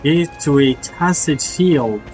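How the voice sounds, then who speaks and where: A man's voice: fairly high pitch, animated, takes it slowly, darkish tone. Guy streaming gameplay, slangy and casual, voice swings around a lot, bit of game music behind. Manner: informal, slangy. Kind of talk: casual live gameplay reaction.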